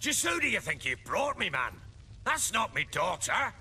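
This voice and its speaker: An actor playing a gruff, commanding middle-aged lord in a video game, with blustering, archaic rustic speech. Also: male voice, high-pitched, big pitch swings, moderate pace, sharp tone, slight background noise, heavily acted. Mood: angry. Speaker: lord with men and hounds. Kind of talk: dramatic video game acting.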